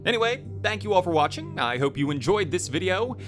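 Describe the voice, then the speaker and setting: Bright, lively man narrating a video essay. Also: high-pitched, a little fast. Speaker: host, game reviewer. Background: faint music.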